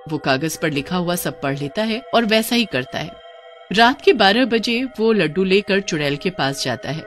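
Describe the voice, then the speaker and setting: A woman's voice: fairly low pitch, expressive, normal speed, soft background music. A woman storyteller giving dramatic scripted narration of a cartoon horror story.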